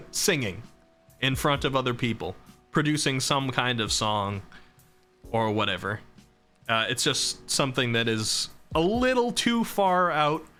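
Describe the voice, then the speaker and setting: A young man streaming live, chatting casually about himself with a rambling, self-deprecating manner, his voice starting to go hoarse from talking so long. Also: medium pitch, bright, forceful tone. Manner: rambling and self-deprecating, reading chat and laughing.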